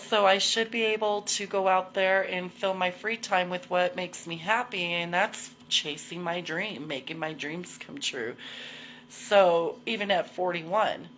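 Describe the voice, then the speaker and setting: A woman's voice: mid-range voice, fairly bright tone, slight background noise. A woman in her forties talking casually to livestream viewers, candid and slangy, swearing freely and repeating herself for emphasis. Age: 40s. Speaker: host of a live video show.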